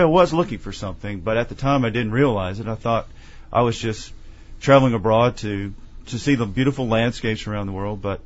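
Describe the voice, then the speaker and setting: A man from Tennessee, an author being interviewed, telling his story in a measured, earnest way. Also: mid-range voice, lo-fi sound. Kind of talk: conversational interview.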